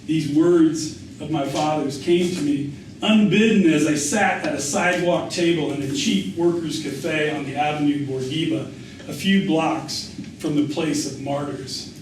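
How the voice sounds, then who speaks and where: A man reading a literary memoir aloud as its author, with a measured storytelling delivery. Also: a little high. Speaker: author reading his essay. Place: indoor public reading. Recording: echoey room, distant mic, faint noise.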